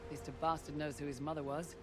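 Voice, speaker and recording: A man's voice: fairly high pitch, flat delivery, quick pace, over music, close to an everyday voice. An actor playing a wry, loyal male mercenary lieutenant in a video game, terse and teasing like a soldier.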